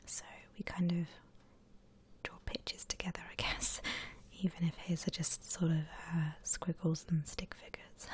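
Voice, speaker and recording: A woman softly whispering an ASMR drawing tutorial in a breathy, gentle, unhurried way, like an instructor. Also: fairly low pitch, level tone. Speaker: ASMR art channel host.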